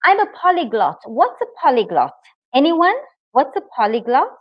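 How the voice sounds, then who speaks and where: A woman whose native language is English, teaching a live online IELTS lesson, speaking slowly and clearly and cheering her students on. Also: on the high side, dry recording, muffled recording. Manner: slow, clear, encouraging, reads out student comments.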